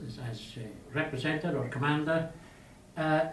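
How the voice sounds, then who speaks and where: A man chairing a meeting, speaking in a measured, formal way and inviting others to speak. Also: a little high, steady tone. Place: meeting room. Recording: echoey hall, distant mic, faint hiss.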